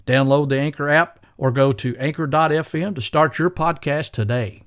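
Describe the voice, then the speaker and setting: A man's voice: on the high side, moderate pace, fairly bright tone, dry recording, studio quality. A man hosting a podcast, reading scripted introductions and promotional copy. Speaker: podcast host. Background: faint music.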